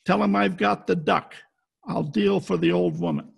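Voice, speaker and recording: Male actor as hardboiled radio detective: husky, heavy fry, clipped slangy delivery, wisecracking. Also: high-pitched, normal speed, full character voice. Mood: hard, pressing. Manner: clipped slangy tough talk. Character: tough, wisecracking hardboiled private detective, adult man. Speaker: amateur radio play actor. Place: at home, recorded over video call.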